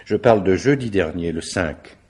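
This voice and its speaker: An actor playing a courteous, probing police officer in a French radio mystery drama, formal and politely insistent. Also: male voice, low voice, animated, normal speed, darkish tone, slightly rough.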